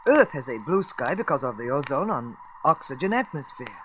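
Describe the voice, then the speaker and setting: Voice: medium pitch, big pitch swings, moderate pace, a bit bright, slight background noise, poor audio. A performer playing an earnest, level-headed scientific space traveller in a radio serial, with precise, formal phrasing. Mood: thoughtful. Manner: precise, formal, insistent phrasing without contractions.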